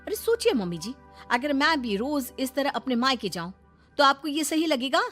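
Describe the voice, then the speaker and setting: A woman plays an indignant young wife in a moral story. She complains pointedly in a high, bright voice, exasperated and very animated. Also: normal speed, faint music behind. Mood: indignant complaining.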